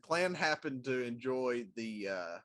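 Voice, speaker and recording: Male co-host, middle-aged, medium pitch, relaxed conversational delivery. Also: slow pace. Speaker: talk show co-host. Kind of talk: casual chat.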